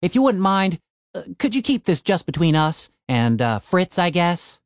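A man's voice: high voice, sing-song, normal speed, dry recording, clean studio sound, pitched above the performer's own voice. A man voicing a determined, insistent young man in an audiobook story. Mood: pleading.

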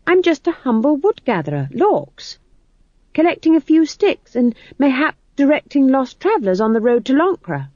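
A woman's voice: high-pitched, very animated, moderate pace, mellow, no room sound, muffled recording, pitched above the performer's own voice. A woman voicing a cheerful, bossy old witch in a storybook, blunt, folksy and chatty. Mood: feigned humble. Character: brash, bossy, cheerful old witch posing as humble wood-gatherer.

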